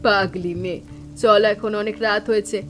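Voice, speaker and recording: A woman playing a caring elder sister-in-law in an animated family drama, speaking in a reassuring, gently instructing manner. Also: medium pitch, normal speed, lightly acted. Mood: affectionate. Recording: music bed with rain sounds.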